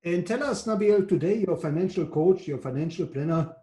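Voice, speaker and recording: Male interviewer, higher voice, mellow tone, polite and brief, roomy echo. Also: expressive, a little fast. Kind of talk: interview questions.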